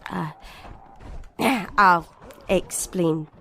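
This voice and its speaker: A woman's voice: fairly low pitch, drawn-out words, creaky, a bit bright, full character voice. A woman playing a weary, compassionate survivor in an audio drama, with a hesitant, frank manner. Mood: weary, evasive. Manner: hesitant, sighing.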